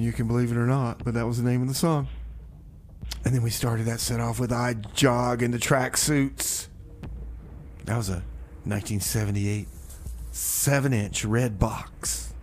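A man's voice: medium pitch, measured pace, darkish tone, slight background noise. A man hosting a community radio music show, back-announcing songs in a rambling, wry way. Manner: rambling, self-interrupting, wry. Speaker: radio DJ and host. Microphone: studio microphone.

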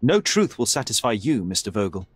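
An actor playing a young detective in a video game, measured, dry and probing. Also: male voice, lowish voice, big pitch swings, husky, dry recording. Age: young adult. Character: cool, wry young male detective. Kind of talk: video game cutscene acting.

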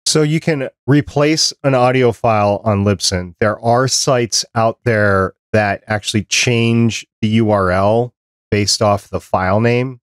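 A man's voice: lowish voice, measured pace, dry recording, studio quality. A middle-aged man hosting a podcast chat, explaining things in a matter-of-fact, conversational way. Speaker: podcast host. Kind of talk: conversational interview and advice. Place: small cluttered home office, video call. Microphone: desk microphone close up, headset.

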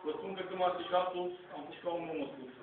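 A man's voice: sits high, far from the mic. A middle-aged man giving a fervent, unscripted sermon in an earnest, exhorting, repetitive way, recorded from the congregation of a small church hall. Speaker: lay preacher. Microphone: distant handheld camera in the audience. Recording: tinny, noisy, echoey phone recording from afar.

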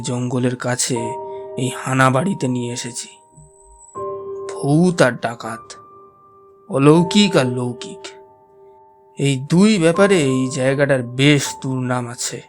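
A man's voice: medium pitch, lightly acted. A man playing a wry believer in ghosts, narrating a horror audio drama in a theatrical, teasing way. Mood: hushed, ominous.

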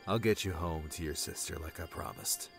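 Guy doing a brave terse time traveler, low and gravelly, audiobook. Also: animated, normal speed, faint music behind. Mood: brusque, reassuring. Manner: casual, terse. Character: brave, brusque young male time traveler.

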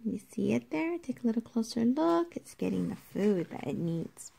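A woman teacher reading a nonfiction book aloud to young children, explaining it in a deliberate, instructive way and addressing them directly. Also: on the high side, big pitch swings, creaky, warm tone, breathy edge.

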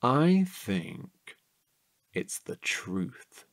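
A man acting a chatty, curious night-watch guard in a naturalistic audio drama, husky and halting in a playful way. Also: medium pitch, very animated, dry recording. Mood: musing, conspiratorial.

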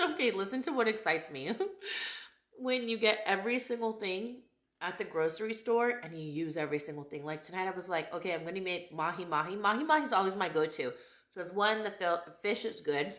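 A chatty woman in her thirties talks to a handheld camera in her kitchen, with a voice a little raspy from a cold. Her tone is bright and forward, and the room gives it a noticeable echo. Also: medium pitch, fairly quick. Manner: chatty, candid, laughs often. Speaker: vlogger. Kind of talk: casual vlog chat. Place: home kitchen and living room. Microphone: camera held at arm's length. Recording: echoey room.

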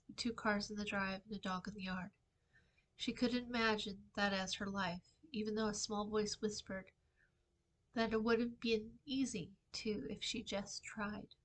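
A middle-aged woman, an author reading her own fiction aloud in a measured, dramatic storytime delivery. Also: mid-range voice, level tone, poor audio. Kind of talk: expressive storytime book reading. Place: small indoor room with shelving.

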